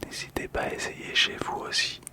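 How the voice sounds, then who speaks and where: Male ASMR host. Fully whispered, soft and calming delivery, intimate close microphone. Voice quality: breathy, unvoiced whisper. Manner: gentle, slow, soothing delivery with pauses. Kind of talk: whispered ASMR card description. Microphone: close ASMR microphone, monitored on headphones.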